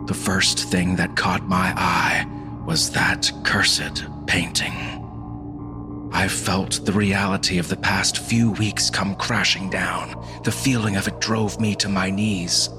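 A man playing a haunted husband who narrates a supernatural horror story in a grave, suspenseful, deliberate way. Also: sits low. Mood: shaken. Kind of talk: dramatic scripted narration. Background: music.

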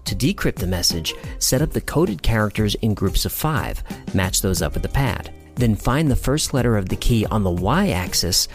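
Explainer video host, medium pitch, casual n a bit wry, music playing underneath. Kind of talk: scripted narration with casual asides.

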